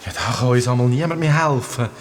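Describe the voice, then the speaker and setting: A man's voice: medium pitch, brisk, slight background noise, lightly acted. A man playing a blunt, impatient boy adventurer with a Swiss German accent in a children's audio drama. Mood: uneasy, puzzled. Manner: blunt, exclamatory, repeats words for emphasis. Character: Chlöpf, bossy, impatient, hot-tempered boy adventurer.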